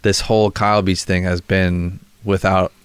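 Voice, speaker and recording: A man's voice: low-pitched, flat delivery, moderate pace, smooth, no echo. A young man hosting a casual podcast chat, rambling with restarts and frequent swearing. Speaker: podcast host and music creator.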